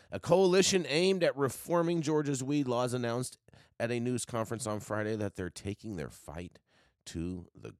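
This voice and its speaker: Male talk host, low pitch, animated intonation, emphatic, repetitive phrasing, casual news commentary. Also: no echo, studio quality.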